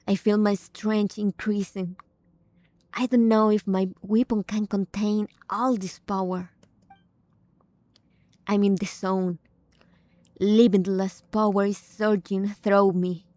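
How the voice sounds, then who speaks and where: A woman gives casual gameplay commentary at a slow, easy pace. Her voice is mellow and sits at a middle pitch. The recording is very dry, with hardly any room on it. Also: little pitch movement, no echo. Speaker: game streamer. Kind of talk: casual gameplay reactions and reading aloud.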